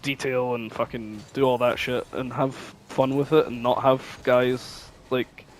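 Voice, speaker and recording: A man's voice: mid-range voice, a little slow, a little hiss or hum, low-quality recording. A man co-hosting a podcast in casual chat, rambling and swearing freely as he spins out his thoughts. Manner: rambling, sweary, animated storytelling. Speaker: podcast co-host.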